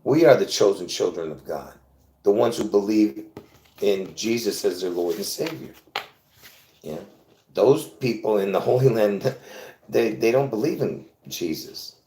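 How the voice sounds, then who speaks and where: A man with a fairly low voice rambles through a casual livestream monologue, chatting loosely, laughing often and restarting his sentences. His voice rings around a roomy, echoey space. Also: lively intonation, a little slow, warm tone. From born in Lebanon. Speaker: livestream host. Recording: roomy echo.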